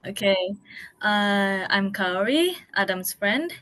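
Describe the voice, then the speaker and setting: A young woman from Japan answers questions over a video call. She speaks very slowly, with long pauses and fillers as she hunts for the right words. Her voice sits at a medium pitch. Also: lively intonation, sharp tone. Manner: halting, hesitant, searching for words with many fillers. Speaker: interviewee. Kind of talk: casual video-call interview.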